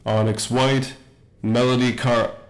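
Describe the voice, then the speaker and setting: A man playing an academy principal who makes formal, measured announcements in an audio roleplay. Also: medium pitch, level tone, soft background music, natural voice. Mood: formal, official.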